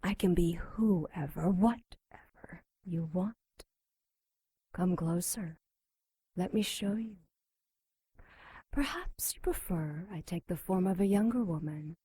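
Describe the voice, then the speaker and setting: A woman playing a seductive, mocking captive female demon in an audio drama, taunting with breathy whispers and moans. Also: on the low side, slow, pauses often, warm tone, dry recording, rough recording.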